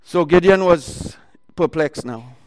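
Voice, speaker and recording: Guy preaching into a mic, kinda high voice, loud, lots of uhs and restarts. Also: slow pace, big-room sound, low-quality recording. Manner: loud voice, talks in bursts with many fillers and restarts. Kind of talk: sermon retelling a Bible story.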